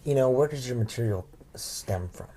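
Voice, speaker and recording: A man hosting an interview, a comic himself, asking questions in a halting way with repeated words. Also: medium pitch, expressive, dark tone, husky. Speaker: talk show host and comedian. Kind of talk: casual interview.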